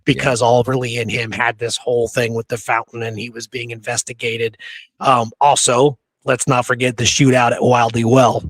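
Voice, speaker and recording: A man's voice: mid-range voice, flat delivery, normal speed, no echo. Male history podcaster, mid-range voice, informal storyteller, slangy phrasing, very dry recording. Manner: informal, slangy, says dude and you know. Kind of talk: casual conversational history storytelling.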